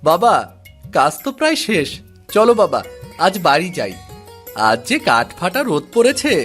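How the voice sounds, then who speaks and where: A man's voice: high voice, wildly swooping pitch, normal speed, fairly bright tone, faint music behind, pitched above the performer's own voice, heavily acted. A man voicing a farmer's young son in a cartoon village tale, earnest and plaintive in a boyish way. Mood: eager. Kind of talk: animated moral-tale dialogue.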